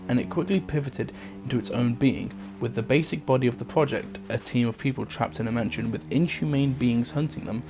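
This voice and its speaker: A man hosting a video essay, reading scripted documentary-style narration. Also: medium pitch. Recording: music under the voice. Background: background music.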